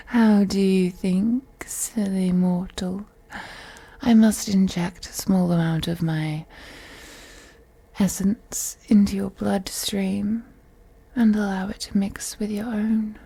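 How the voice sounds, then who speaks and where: A woman's voice: medium pitch, fairly even intonation, warm tone, full character voice. A woman playing a wealthy, possessive female vampire in an intimate audio roleplay, speaking in a whispery, teasing, condescending way. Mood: condescending, playful. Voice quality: breathy, whispery. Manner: slow, purring, condescending, deliberate.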